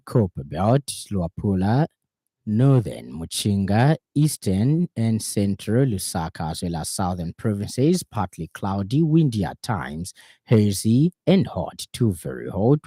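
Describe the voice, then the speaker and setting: A man's voice: deep voice, warm tone, husky, dry recording, studio quality. A man with a Zambian accent reading a scripted radio news bulletin as the presenter. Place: radio studio in Lusaka.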